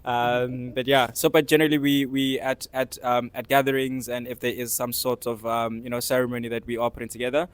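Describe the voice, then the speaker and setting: A man with a South African accent explaining things casually as a panellist, in hesitant, halting phrases. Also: medium pitch, flat delivery, smooth, dry recording. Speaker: discussion panellist.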